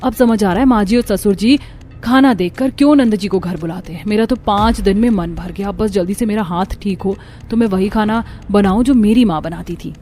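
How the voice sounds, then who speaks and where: A woman's voice: medium pitch, brisk, warm tone, faint music behind, lightly acted. A woman voicing a polite, earnest young newlywed daughter-in-law in a cartoon. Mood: wistful.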